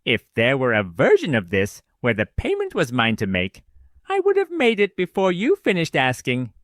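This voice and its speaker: An actor playing a weary, learned old man in an audio drama, speaking in deliberate, formal, clipped declarations. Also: mid-range voice, huge pitch swings, no echo, studio quality. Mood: solemn, regretful. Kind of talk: dramatic audio fiction dialogue.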